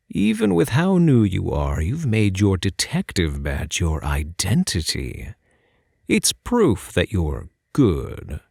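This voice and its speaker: A man playing a suave, teasing phantom thief in an intimate ASMR roleplay, soft-spoken and coaxing, speaking straight to the listener. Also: low voice, creaky, husky, no echo, studio quality, full character voice. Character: suave, teasing male phantom thief posing as prison guard.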